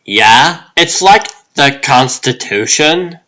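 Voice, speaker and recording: A smug, insolent young guy, put on by a man for a comic dub. His voice is loud, pushed high and sharp. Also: expressive, takes it slowly. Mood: smug, defensive.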